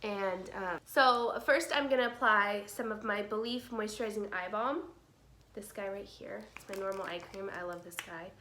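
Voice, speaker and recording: A young woman in her twenties with a cold, chatting casually through a makeup tutorial at home and sometimes whispering softly. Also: mid-range voice, moderate pace, slight background noise. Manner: chatty, drops to soft whispers while applying products. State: congested with a cold. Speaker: beauty vlogger. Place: small room at home, indoors. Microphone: camera in front at close range.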